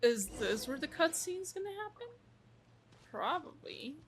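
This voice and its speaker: A woman's voice: sits high, very animated, a little slow, noisy background. A woman doing casual live game commentary, with sarcastic, slangy asides and some swearing. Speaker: game streamer reacting to cutscenes.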